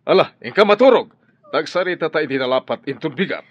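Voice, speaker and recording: A man's voice: fairly high pitch, expressive, quick pace. A man with an Ilocano accent playing a stern, exasperated father who scolds and sighs in a radio drama. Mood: angry scolding. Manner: scolding, sighing. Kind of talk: Filipino radio drama acting. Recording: dull lo-fi radio audio over music.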